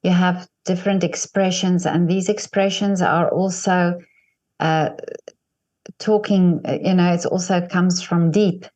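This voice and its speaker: A woman, Afrikaans-born, interviews her guest in English. Her voice sits fairly low, and she keeps the talk going with steady affirmations and encouraging replies. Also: measured pace. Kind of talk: interview conversation.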